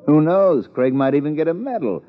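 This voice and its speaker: An actor playing a smug, mockingly polite crime boss in a 1950s radio drama, with a sardonic manner. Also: male voice, on the high side, expressive, a little fast, natural voice. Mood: gloating. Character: smug, smooth-talking male murderous crime boss. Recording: muffled old radio broadcast.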